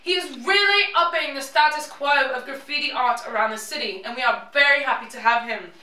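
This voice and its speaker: A woman with a high, bright voice hosts a live house concert, putting on a British accent. She keeps it jokey and self-mocking. The sound is roomy and picked up from a little way off the mic. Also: a little fast, recorded from a distance, a little hiss or hum. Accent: put-on British. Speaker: host of a live music show. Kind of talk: playful show introduction. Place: living room show space in a house.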